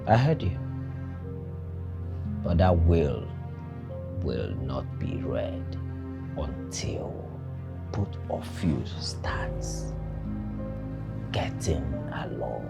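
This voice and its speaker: An actor playing a stern, offended family lawyer in a film drama, formal and deliberate. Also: male voice, rumbling low, very animated, vocal fry, loud music behind the voice, heavily acted. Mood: measured, firm.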